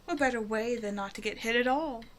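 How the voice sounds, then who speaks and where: A woman's voice: fairly high pitch, a little fast, natural voice. Flirty, self-assured villainess, acted by a woman, noisy background. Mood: teasing, flirtatious. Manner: teasing, casual, self-assured. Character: flirtatious female supervillain, a demanding but generous tailor's customer. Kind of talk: roleplay audio drama, intimate one-sided dialogue to listener.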